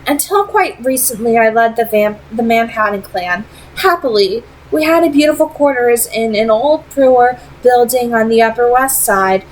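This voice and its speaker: A woman's voice: fairly high pitch, lively intonation, slight background noise, natural voice, low-quality recording. A woman voicing a proud, secretive female vampire who leads a clan and speaks formally and persuasively in a read-aloud story. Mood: bitter. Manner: formal, deliberate, dramatic phrasing.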